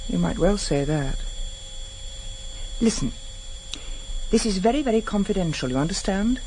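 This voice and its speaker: A woman's voice: mid-range voice, animated, normal speed, warm tone, close to an everyday voice. An actress playing a prim, cautious, secretive woman in a British radio drama. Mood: confiding. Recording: noisy vintage radio recording.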